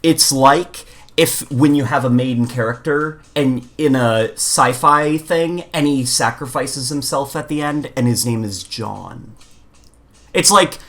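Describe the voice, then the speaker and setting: A man with a bright, forceful voice at an average male pitch. He chats casually as a podcast co-host, wandering through animated, joking asides in loose conversational banter. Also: slow. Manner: rambling, jokey, animated storytelling.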